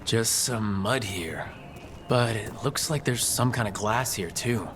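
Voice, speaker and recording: A man's voice: lowish voice, unhurried, fairly bright tone, slight background noise. An actor playing a level-headed, determined male adventurer hero in a video game, protective and grim. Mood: searching, focused.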